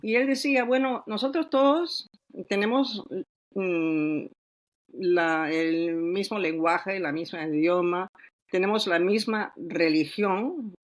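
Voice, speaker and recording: A woman's voice: fairly low pitch, slow. Reflective Peruvian woman author, older. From Peru. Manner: thoughtful, reflective, with frequent hesitations. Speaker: author guest. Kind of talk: podcast interview.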